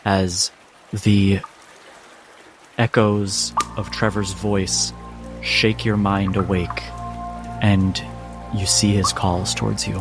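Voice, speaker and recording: A man as game master, narrating a tabletop game dramatically in deliberate, broken phrases. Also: on the low side, level tone. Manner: deliberate, short phrases with pauses. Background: music and rain sounds.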